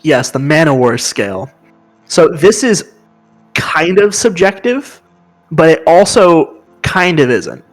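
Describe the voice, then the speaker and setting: A man with a high voice co-hosting a casual podcast chat, explaining with enthusiasm and humming riff sounds. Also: big pitch swings, slow pace. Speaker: podcast co-host.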